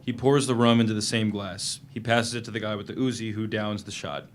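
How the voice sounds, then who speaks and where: A man's voice: fairly low pitch, steady tone, a little fast, a little hiss or hum, natural voice. An actor playing a guarded, defiant prisoner, narrating his journal tersely in a dramatic reading of a novel. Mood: tense narration. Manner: plain, guarded, terse storytelling in present tense.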